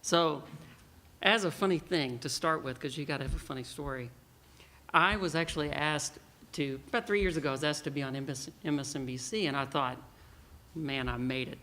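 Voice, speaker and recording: A man's voice: on the high side, a little hiss or hum. A man from Texas giving a conference talk, joking and telling stories. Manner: joking, storytelling, lively. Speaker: panel speaker. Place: conference hall.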